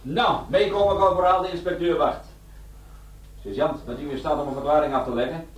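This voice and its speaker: A man's voice: fairly high pitch, fairly even intonation, brisk, distant mic, lo-fi sound, close to an everyday voice. An actor playing an officious policeman in a radio play. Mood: stern. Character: officious policeman collecting a prisoner. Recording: echoey room, off-mic.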